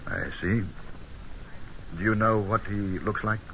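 Stern british army colonel, suspicious and short-fused, hard piercing voice, muffled old radio drama sound. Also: male voice, on the low side, fairly even intonation, takes it slowly, sharp tone. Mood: sceptical. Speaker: actor and narrator. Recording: muffled old radio broadcast, faint hiss.

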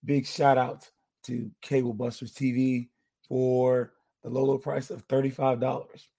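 A man hosts a casual sports podcast with a hoarse voice. His tone is mellow, with a gravelly rasp. He talks in a relaxed, chatty way and gives out shout-outs. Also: mid-range voice, unhurried. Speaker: podcast host.

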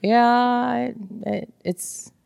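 A woman's voice: on the high side, steady tone, very slow, gravelly, a little mellow, clean tone, dry recording, studio quality. A woman co-hosting a casual book club podcast, chatting in an informal, teasing and opinionated way. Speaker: podcast co-host.

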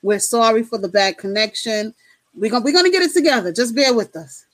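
Woman, late 30s, talk show co-host; relaxed, filler-heavy chat, slightly higher voice. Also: fairly quick, no echo. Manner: chatty, laughs easily, filler-heavy.

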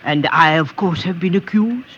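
A shifty little dockside thief in a vintage radio play. His high voice slides around with hesitant, fawning politeness. The recording is dull and slightly noisy. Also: normal speed, sharp tone. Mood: nervous, ingratiating. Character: shifty, obsequious petty thief and passport forger. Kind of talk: old-time radio drama acting.

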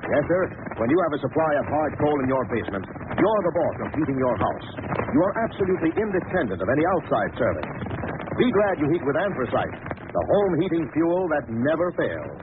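A man's voice: on the high side, very animated, fairly quick. A man doing emphatic, declamatory scripted announcing and narration in a 1940s radio style. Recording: tinny noisy old radio broadcast.

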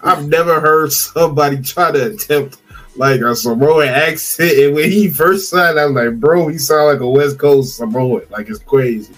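A man's voice: a little high, moderate pace, a bit bright, soft background music. A man guesting on a casual sports podcast, slangy and loose in his phrasing. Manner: slangy, loose, informal grammar.

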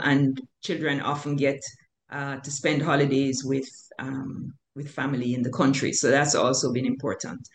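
An older woman from Jamaica with a Jamaican accent, answering interview questions in a measured, hesitant way with many fillers. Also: deep voice. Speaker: author, guest. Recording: echoey room with faint noise.

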